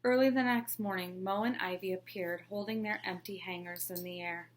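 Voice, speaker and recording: Teacher narrator, expressive read-aloud for kids, mid pitch, faint hum behind. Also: female voice, normal speed. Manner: clear, expressive storytelling. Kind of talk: children's read-along narration.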